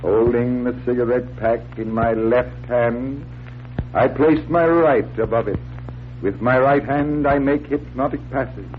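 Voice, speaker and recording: An actor playing a confident stage magician detective in a 1940s radio drama, speaking with measured, theatrical authority. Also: male voice, medium pitch, natural voice. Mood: showy, mysterious. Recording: muffled, humming, hissy 1940s radio recording.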